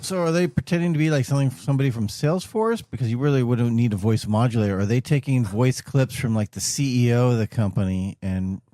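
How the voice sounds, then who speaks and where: A man's voice: mid-range voice, fast talker, few pauses, dark tone, no echo, clean studio sound. A man on a radio panel, chatting casually and asking questions in an inquisitive way. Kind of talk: casual studio discussion.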